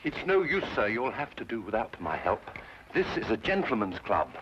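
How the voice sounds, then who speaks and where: An actor playing a discreet British club servant, deferential but unyielding, in an old-time radio drama. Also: male voice, medium pitch, big pitch swings, moderate pace, natural voice. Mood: guarded, stubborn. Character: stubborn, discreet working-class male servant at gentlemen's club. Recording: dull, noisy old radio drama recording.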